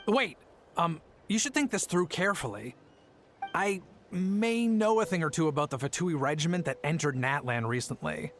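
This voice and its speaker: An actor playing a nervous, gentle merchant father in a video game, hesitant and placating. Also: fairly high pitch, animated, normal speed, slight background noise. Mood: uneasy.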